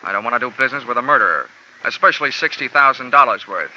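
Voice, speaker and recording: Sardonic tough-guy investigator, bright voice, muffled. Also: mid-range voice, expressive, quick pace, a little hiss or hum. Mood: wry. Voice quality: dry, sardonic wisecracks. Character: wisecracking tough male insurance investigator. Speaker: narrator and lead. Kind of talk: 1950s radio drama acting. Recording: dull old radio recording.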